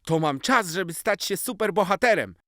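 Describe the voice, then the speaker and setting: A man voicing an eager seven-year-old boy in a children's story, full of exclamation and excitement. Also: sits high, normal speed, no echo, studio quality, voice pushed up. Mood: eager, proud.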